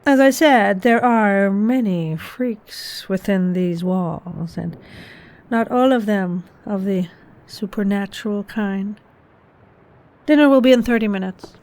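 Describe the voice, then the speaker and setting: A woman's voice: mid-range voice, slow, a little mellow. A woman playing a formal, knowing hostess of a haunted mansion in a horror audio drama. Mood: knowing, sinister. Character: poised, ominous mansion hostess, the host's wife.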